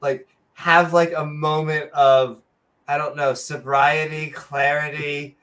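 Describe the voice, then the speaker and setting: A woman co-hosting a comedic podcast, sarcastic and animated, full of asides. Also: deep voice, slow pace. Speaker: podcast co-host. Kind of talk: casual comedic chat.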